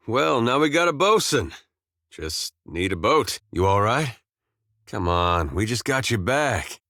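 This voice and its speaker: An actor playing a laid-back, good-natured farmer turned adventurer in a video game, plain-spoken and folksy with dry, understated humor. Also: male voice, on the low side, a bit bright, no room sound. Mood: wry, concerned. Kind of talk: scripted video game dialogue.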